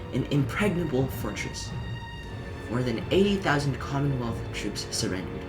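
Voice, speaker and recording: Expressive male narrator over music. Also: medium pitch, rough recording. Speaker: audiobook narrator. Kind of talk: scripted educational narration.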